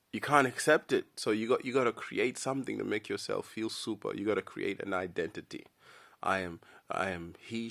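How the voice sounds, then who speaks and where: A man's voice: mid-range voice, fairly bright tone. A man whose first language is Dinka, giving a blunt, slangy opinion rant straight to camera, stumbling and repeating words as he thinks. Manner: blunt, slangy, keeps calling the listener "bro". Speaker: vlogger.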